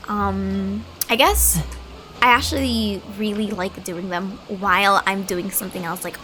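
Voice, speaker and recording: A woman's voice: mid-range voice, sharp tone, a little hiss or hum, rough recording. A young woman streamer giving casual, chatty gameplay commentary, rambling and musing aloud. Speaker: game streamer. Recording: game music behind the voice. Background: game music.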